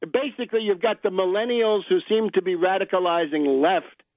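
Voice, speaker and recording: A man's voice: high-pitched, fairly quick, no echo. An American man hosting political talk radio, giving opinionated, sardonic, professorial commentary and often tagging points with "right?". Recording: narrow-band phone line on radio.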